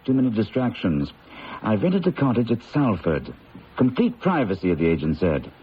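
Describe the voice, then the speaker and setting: An actor playing an enthusiastic, sociable researcher with a hearty, exclamatory way of talking in an old-time radio drama. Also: male voice, on the low side, very animated, normal speed. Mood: hearty, excited. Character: enthusiastic, sociable British psychology researcher, breezy friend. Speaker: actor playing the lead's colleague. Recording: dull, hissy old radio recording.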